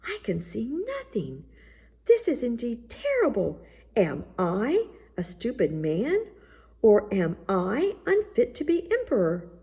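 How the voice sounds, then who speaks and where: A woman's voice: on the high side, huge pitch swings, slow pace, dark tone, husky, voice pushed up, exaggerated voice. A woman acting a vain, insecure male emperor in a storybook, theatrical and exclaiming. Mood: alarmed.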